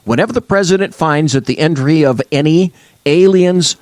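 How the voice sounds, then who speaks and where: A man co-hosting a loose talk radio show, bantering and then reading out legal text in a steady, earnest way. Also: fairly high pitch, normal speed, dry recording.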